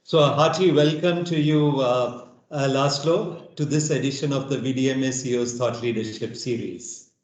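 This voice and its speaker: A man hosting an interview, giving a formal introduction and asking questions, with pauses and some fillers. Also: medium pitch. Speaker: interview host. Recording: echoey room.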